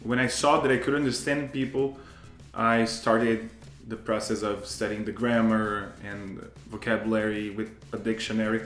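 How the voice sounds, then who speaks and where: A man's voice: on the low side, level tone, normal speed, a bit bright, faint music behind. Brazilian man chatting in a roomy space. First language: Portuguese. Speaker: English teacher, interview guest. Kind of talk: casual conversational interview.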